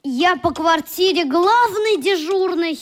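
A woman's voice: extremely high pitch, animated, takes it slowly, full character voice. A woman playing a cheeky, curious little boy in a radio drama, perky and direct. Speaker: actor in a radio play.

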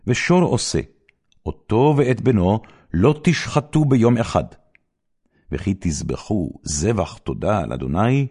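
A man reading the Bible aloud in a solemn, scripted style, with measured, deliberate phrasing and pauses. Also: low-pitched, dark tone. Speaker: scripture reader.

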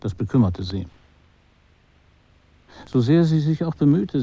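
An actor playing a man who narrates his memories in a literary radio drama, measured and understated, in slightly muffled sound. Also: medium pitch, gravelly, dark tone, husky, no echo. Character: male narrator recalling a woman he knew.

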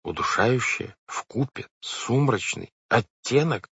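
A man's voice: mid-range voice, lively intonation, slow pace, creaky, a bit bright, husky, no room sound, pitched above the performer's own voice, full character voice. A man voicing an anxious, earnest young girl from a storybook in an urgent, worried manner. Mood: puzzled. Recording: dull, lo-fi, dry recording.

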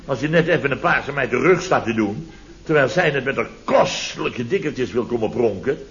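An actor playing a pompous middle-aged building boss in a radio comedy, blustering indignantly and repeating himself. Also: male voice, medium pitch, lively intonation, normal speed, a bit bright. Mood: exasperated. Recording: dull, lo-fi old radio recording.

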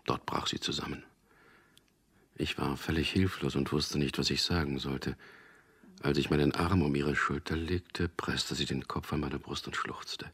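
An actor playing a dry, guarded middle-aged official who narrates a radio drama in an understated, gravelly way. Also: male voice, rumbling low, steady tone, a little slow, fairly bright tone, full character voice. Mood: sober narrating. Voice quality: gravelly, husky. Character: Peter, dry, guarded propaganda official about to marry. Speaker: narrator and lead character.

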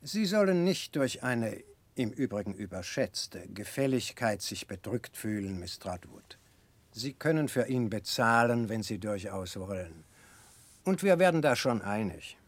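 An actor playing a kindly, formal gentleman and devoted father in a 1960s German radio drama, speaking in a measured, courteous way with a husky voice. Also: fairly low pitch, big pitch swings, no echo, exaggerated voice.

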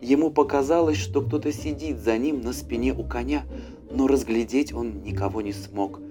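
A man narrating a fairy tale as an audiobook, with an expressive, dramatic storyteller's delivery. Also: mid-range voice, moderate pace. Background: faint music.

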